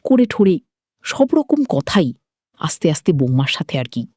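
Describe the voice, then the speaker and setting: A woman's voice: low-pitched, very animated, moderate pace, warm tone, dry recording, clean studio sound. A woman narrating a story informally and dramatically, as a storyteller.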